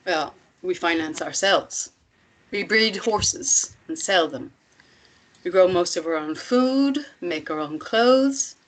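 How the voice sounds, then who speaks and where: A woman playing an older, self-assured commune matriarch in a script table read, speaking in a measured, deliberate way with pointed rhetorical questions. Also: fairly low pitch, expressive, poor audio. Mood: calm, assured.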